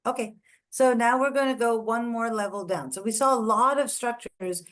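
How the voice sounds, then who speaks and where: A woman's voice: a little high, moderate pace. A woman from India giving a methodical online lecture, pointing out structures on images one by one. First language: not English. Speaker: lecturer on ear anatomy. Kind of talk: online lecture walking through scans.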